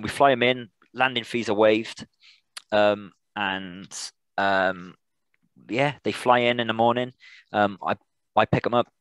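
A British man informally answering questions as a presenter on a video call, in a halting way with repeated words and restarts. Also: on the low side, a bit bright, clean tone, dry recording, poor audio. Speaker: air traffic controller, guest presenter.

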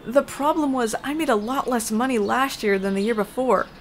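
An actress playing a worried, apologetic young wife in a comic dub, earnest and hesitant. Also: female voice, on the high side, normal speed, a little hiss or hum, lightly acted. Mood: ashamed.

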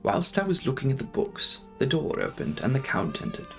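A man's voice: mid-range voice, wildly swooping pitch, moderate pace, creaky. A young man narrating a classic novel as a dramatic audiobook reading. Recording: faint music behind the voice. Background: soft background music.

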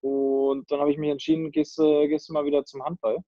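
A man's voice: fairly high pitch, steady tone, fairly quick, vocal fry, mellow, dry recording, muffled recording. A young man from northern Germany, a handball player speaking casually on a video call through earbuds, hesitating with fillers and restarts as he thinks aloud. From northern Germany, Kiel area. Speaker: handball player, interview guest.

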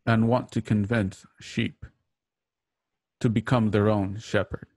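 Man playing a grave, slow, low-voiced rebel. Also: little pitch movement, a little mellow, no echo, clean studio sound. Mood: grave, resolute. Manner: terse, deliberate, solemn. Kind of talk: remote radio play reading.

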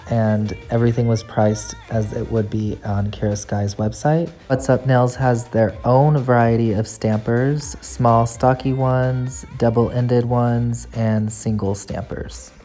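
A woman's voice: extremely low pitch, warm tone. Brief: enthusiastic woman, casual haul vlogger, gushing delivery, faint background music. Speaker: nail art YouTuber. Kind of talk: casual shopping haul vlog. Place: nail supply store, then home desk, indoors.

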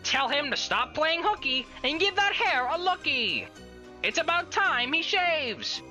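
Peppy swoopy lady doing a jokey rhyming radio ad, high bright voice, music behind. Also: very animated, measured pace. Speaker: advertisement announcer. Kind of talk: playful rhyming parody radio ad.

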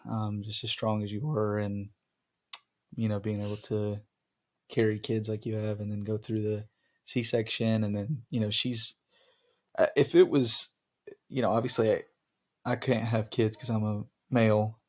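A man's voice: lowish voice, little pitch movement, normal speed, a little mellow. A young man hosting a podcast, chatting casually with his wife, rambling with lots of ums and restarts. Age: young adult. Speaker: podcast host.

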